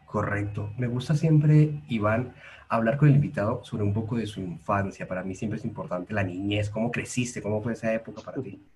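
A man's voice: on the low side, fairly quick, darkish tone, a little hiss or hum. A man hosting an interview podcast, courteous and formal as he welcomes and questions his guest. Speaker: podcast host. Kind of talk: interview host.

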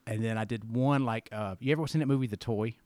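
A man's voice: mid-range voice, fast talker, a little mellow, dry recording. Male host, 40s, chatty storyteller, mid-range voice, dead-dry room sound. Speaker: podcast host. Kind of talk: casual interview chat.

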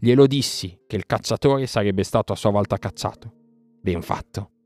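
Man as a low, grave mother wolf. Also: normal speed, a little mellow, no room sound, soft background music, close to an everyday voice. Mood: proud, grim. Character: stern, proud mother wolf, protective of her cub.

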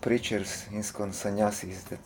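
A man's voice: lowish voice, flat delivery, unhurried, darkish tone, a little hiss or hum. A man from Croatia, speaking English as an in a hesitant interview, full of fillers and restarts. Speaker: interview guest, monk. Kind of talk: casual interview talk.

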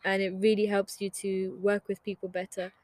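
A woman's voice: mid-range voice, completely flat delivery, fairly quick, darkish tone, no room sound. A young woman from Ireland, a podcast guest chatting casually and earnestly about faith, with frequent laughter. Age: young adult. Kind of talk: casual chat.

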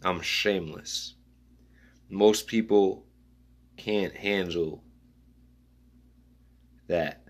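A man musing aloud in a rambling spoken-word style, reading his own notes in halting fragments. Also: deep voice.

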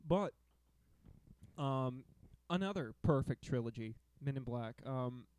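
A man with a dark, relaxed voice talks casually as a podcast co-host. His speech is slangy and sprinkled with swearing, like someone chatting with friends. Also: mid-range voice, slow pace. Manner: profane, slangy. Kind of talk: casual chat about movies with friends.

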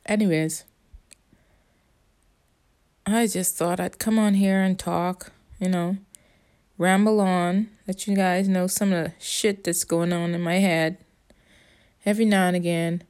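A woman's voice: fairly low pitch, fairly even intonation, measured pace, pauses often, a little mellow. A woman from the Bahamas with a Bahamian accent, rambling in a casual monologue, blunt and profane, with an exasperated edge. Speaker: vlogger.

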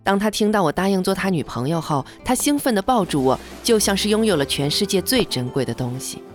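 A woman's voice: mid-range voice, animated, fairly quick, lightly acted. An actress playing a modest young female office worker in an audio drama, in first-person narration and dialogue. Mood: reflective narration. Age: young adult. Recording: music bed behind the voice.

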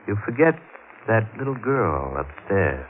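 An actor playing a taunting, mock-polite killer on the run in an old-time radio thriller, speaking with deliberate menace. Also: male voice, on the low side, big pitch swings, phone-quality sound. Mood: sardonic. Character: menacing male killer on the run, mocking, controlling. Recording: scratchy, band-limited old radio recording.

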